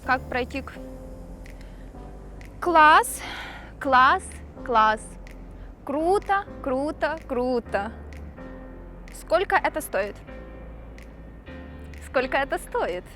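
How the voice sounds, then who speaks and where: A woman teaching phrases in a slow lesson style, deliberately repeating each one. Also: sits high, expressive, bright, forceful tone, soft background music. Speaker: language teacher demonstrating phrases.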